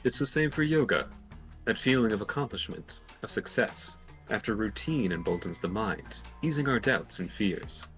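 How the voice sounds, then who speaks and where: A man voicing a calm, philosophical yoga guru in a video game, speaking in measured, formal, politely preachy phrases. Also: lowish voice, soft background music. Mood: serene lecturing. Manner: measured, formal, serene lecturing.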